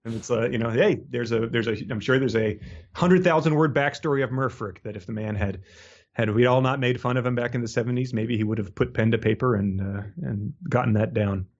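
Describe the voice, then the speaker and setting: A man's voice: mid-range voice, fairly quick, dull audio. A man co-hosting a casual book discussion, joking wryly as he comments. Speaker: podcast co-host.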